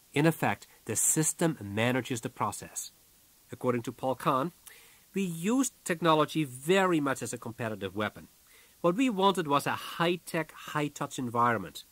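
A man narrating a nonfiction audiobook from a script in a measured, businesslike way. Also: mid-range voice, fairly bright tone, no echo. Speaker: author reading own audiobook.

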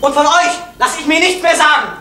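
A man's voice: very high-pitched, brisk. An actor playing a stubborn, conscientious young man in an East German radio drama, speaking with emphatic defiance. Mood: defiant. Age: young adult. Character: stubborn, conscientious young postal worker and son. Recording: roomy, noisy old radio drama recording.

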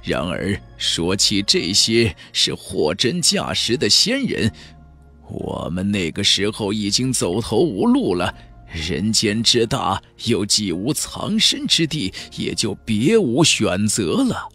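Man voicing a slow, solemn old clan elder. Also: on the low side, big pitch swings, sharp tone, husky, soft background music, exaggerated voice. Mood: awed, solemn. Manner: slow, dreamy, archaic storytelling. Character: ancient male clan elder, reverent, sorrowful, recalling the past. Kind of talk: audiobook character voice in a fantasy novel.

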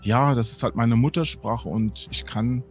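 A man's voice: medium pitch, a little slow, faint music behind. A man answering a survey question, laying out his reasons at length. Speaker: interviewee.

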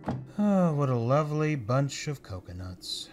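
A man playing a gruff, weary but decent police officer in a comic book fan dub, dry and plainspoken. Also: medium pitch, very animated, measured pace, darkish tone, soft background music. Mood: annoyed, dry.

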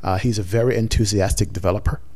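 A man hosting a podcast, introducing the episode in a relaxed way with lots of fillers. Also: fairly low pitch, fast, creaky, breathy edge. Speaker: podcast host.

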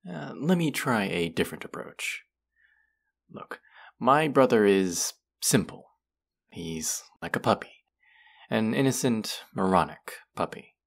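A man's voice: sits low, vocal fry, heavily acted. A man acting a condescending, mocking young twin brother in a roleplay audio drama, with a teasing, sarcastic drawl.

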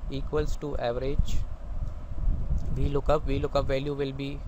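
Hesitant male tutorial voice over clear noise. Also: mid-range voice, little pitch movement. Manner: halting, with very frequent hesitations. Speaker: instructor. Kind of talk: spoken software tutorial over screen recording.

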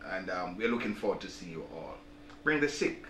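An older man, a bishop being interviewed, speaks in a deliberate, halting way and often repeats words as he invites the public to an event. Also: low-pitched, very animated, bright, forceful tone. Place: small office, seated at a desk. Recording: roomy, distant mic, clear background noise.

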